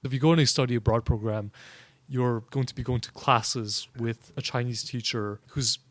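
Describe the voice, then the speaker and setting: A man's voice: mid-range voice, fairly even intonation, normal speed, no room sound. A man speaking in a mid-range voice, recounting his experiences as an interview guest. He tells them earnestly and often checks in with the listener. The recording is close and dry, with no room sound. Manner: earnest, keeps checking in with tag questions. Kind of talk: conversational storytelling.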